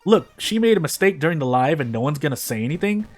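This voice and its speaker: A man's voice: fairly high pitch, expressive, fast talker, darkish tone. A man voicing a bitter, envious idol hater, griping with scornful disbelief in a story. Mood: indignant disbelief. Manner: incredulous, griping. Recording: music behind the voice.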